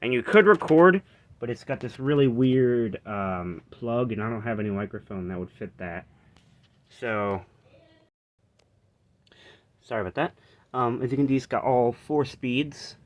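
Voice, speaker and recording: A man's voice: medium pitch, unhurried, long pauses. A man casually showing off equipment unscripted, rambling and breaking off as he goes, recorded on a handheld phone. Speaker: vlogger showing vintage reel-to-reel tape recorder. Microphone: handheld phone camera.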